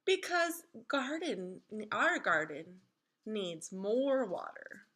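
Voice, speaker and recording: A patient storybook mother, played by a woman reading aloud. She has a bright voice and explains things in an assured, reassuring way. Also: mid-range voice, very animated, drawn-out words, breathy edge, full character voice. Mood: explaining. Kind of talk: children's storybook read-aloud.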